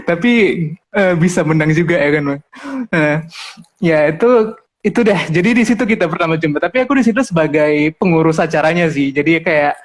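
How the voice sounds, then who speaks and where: A man's voice: a little high, lively intonation, moderate pace. A man in his twenties hosting a podcast in casual chat, talkative and laughing often. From Medan, Indonesia. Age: 20s. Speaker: podcast host.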